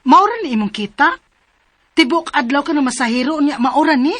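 A young working mother who has been wronged, in a high voice with a Cebuano accent. She is long-suffering yet fiery, delivered in dramatic radio drama style over faint music. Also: expressive, brisk, a bit bright. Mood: nagging, reproachful. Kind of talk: radio drama acting and first-person narration.

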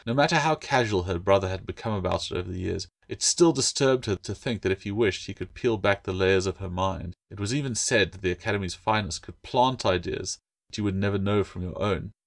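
Male author, lowish pitch, scripted audiobook narration, fantasy storytelling. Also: a little fast, vocal fry, no room sound. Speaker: author reading own novel.

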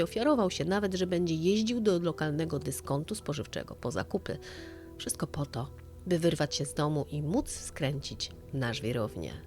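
A woman narrates a scripted true-crime tale in a fairly low voice. Her delivery is that of a dramatised audio story, with soft music running behind her. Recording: music bed under the voice. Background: background music.

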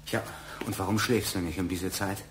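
An actor playing a middle-aged architect and father in a German radio play, halting and slightly pompous. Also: low-pitched, fairly even intonation, music in the background, natural voice. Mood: tired, gentle. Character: middle-aged architect, hesitant, self-important family father.

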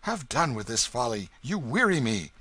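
A man's voice: medium pitch, sing-song, takes it slowly, pushed and bright, pitched above the performer's own voice, heavily acted. A man voicing a haughty, indignant boy king in a storybook, giving imperious, clipped retorts in archaic speech. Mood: impatient.

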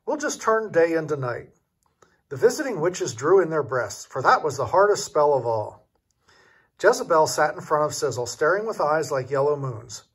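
A man's voice: on the high side, very animated, moderate pace, husky. An older man reading a children's storybook aloud expressively, as a grandfather would.

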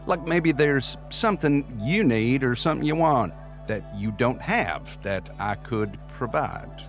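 An actor plays a cocky man who wheedles and dodges in an audio drama. His mid-pitched voice is very animated, with music behind it. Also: a little slow, close to an everyday voice. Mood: cocky, wheedling. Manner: flippant, wheedling. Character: cocky, evasive, smooth-talking man bargaining his way out. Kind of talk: scripted audio drama acting.

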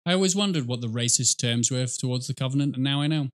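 A man's voice: mid-range voice, fast, heavy background noise. A middle-aged man giving casual, rambling, wry commentary as he reacts to video.

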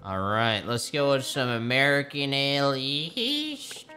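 A man in his thirties streaming games, chatting casually with loud profanity and excitable trash talk. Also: mid-range voice, takes it slowly, music in the background. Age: 30s. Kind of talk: casual gaming stream chat.

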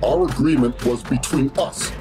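An actor playing a defiant, principled warrior brother in an audio drama, firm, earnest and restrained. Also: male voice, medium pitch, moderate pace, close to an everyday voice. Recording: loud music under the voice.

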